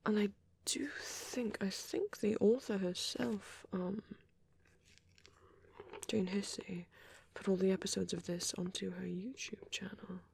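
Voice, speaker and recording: A performer voicing a shy, gentle young vampire boyfriend in an ASMR roleplay, with a soft, hesitant, often whispered delivery. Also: mid-range voice, steady tone, gravelly, slightly rough. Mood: fondly nostalgic. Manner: hesitant, halting, murmured musing.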